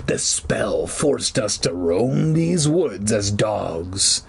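Storybook ghost dog, played by a man: ancient, cursed, menacing, dry growling low voice, slow commanding delivery. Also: big pitch swings, gravelly, a little hiss or hum, full character voice. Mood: ominous, commanding. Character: menacing centuries-old cursed ghost dog, formerly human.

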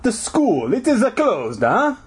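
Male reader as menacing little old janitor: hammy fake Italian accent, halting questions, swooping high and rough. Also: huge pitch swings, full character voice. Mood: suspicious, scolding. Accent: mock Italian. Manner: halting, drawn-out questions with tacked-on syllables.

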